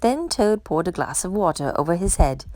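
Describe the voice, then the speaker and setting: A woman's voice: lowish voice, big pitch swings, normal speed, darkish tone, no echo. A woman narrating a children's storybook as a read-aloud for storytime. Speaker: storytime reader.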